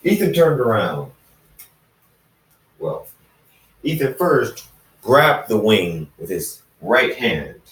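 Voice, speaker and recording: A man narrating fan fiction in an amateur reading, haltingly, repeating and correcting his phrases. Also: lowish voice. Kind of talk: amateur story narration with acted dialogue. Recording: echoey room, distant microphone.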